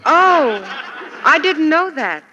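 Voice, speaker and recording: A woman singer with a high voice trades polite lines in scripted radio banter. The sound is that of a vintage studio theater broadcast. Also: very animated, takes it slowly, in a large hall, highs cut off, background noise. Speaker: guest singer.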